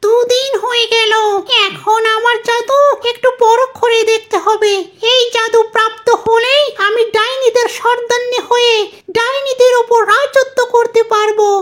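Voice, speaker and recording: A woman's voice: extremely high pitch, fairly even intonation, normal speed, a bit bright. A woman voicing a wicked, power-hungry cartoon witch in a theatrical, scolding manner. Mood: scheming. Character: wicked female witch, greedy for power, vengeful. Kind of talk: cartoon fairy-tale voice acting.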